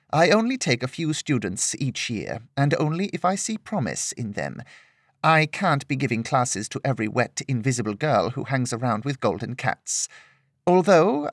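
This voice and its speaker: A man voicing a stern, proud older female sword mistress in a fantasy audiobook, speaking in a measured, dignified way. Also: mid-range voice, no echo, studio quality, pitched above the performer's own voice.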